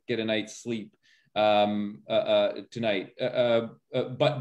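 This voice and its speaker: A man speaking as a scholar on a panel, explaining emphatically in a public Q&A, with stammering starts and repeated phrases for stress. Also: mid-range voice. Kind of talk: impassioned explanatory commentary at a community Q&A.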